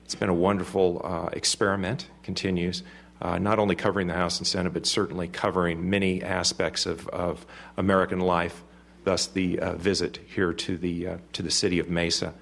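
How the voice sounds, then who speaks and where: A man representing a cable company, giving formal remarks to a city council in a halting way with many fillers. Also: low voice, little pitch movement, vocal fry, a bit bright. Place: council chamber.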